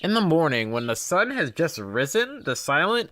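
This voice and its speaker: A man's voice: fairly high pitch, sing-song, moderate pace, fairly bright tone, dry recording. A man hosting a gameplay video, reading visual novel text aloud and dropping in informal asides. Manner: informal, reacts to the text he reads.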